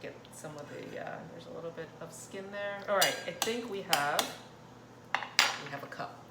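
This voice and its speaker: A woman's voice: low-pitched, normal speed. A woman from Thailand hosting a casual cooking demonstration, chatting in a rambling way and often interrupting herself. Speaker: cooking channel host. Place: indoor kitchen. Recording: roomy echo, clear kitchen noise, clattering dishes. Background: cutlery and dish clatter.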